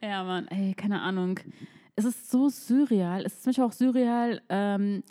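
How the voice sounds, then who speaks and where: A woman's voice: medium pitch, a little slow, warm tone. A woman co-hosting a casual chat, teasing and joking with her friend. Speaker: podcast co-host.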